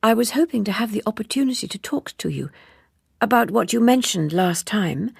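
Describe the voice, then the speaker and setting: A woman's voice: mid-range voice, expressive, normal speed, gravelly, husky, no echo, clean studio sound, pitched above the performer's own voice, exaggerated voice. A woman voicing a proud, reserved but earnest female typist in an audiobook, speaking in a prim, careful way. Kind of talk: audiobook character dialogue.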